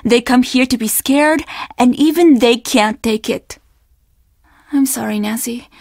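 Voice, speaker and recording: Young lady innkeeper from a video game, high voice, super polite n earnest, kinda troubled, dry close sound. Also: unhurried, creaky, darkish tone, no room sound. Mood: despairing, bitter. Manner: earnest, apologetic, formally polite. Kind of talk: video game character dialogue.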